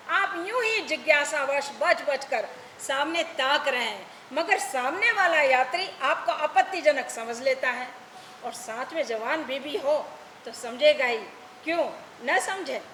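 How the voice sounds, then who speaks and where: Woman doing dramatic stage storytelling, bright sharp voice, echoey hall w some noise. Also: high voice, measured pace. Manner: expressive, dramatic delivery. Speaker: stage performer. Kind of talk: theatrical first-person story narration on stage. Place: indoor theatre stage. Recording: echoey hall, noisy distant stage recording.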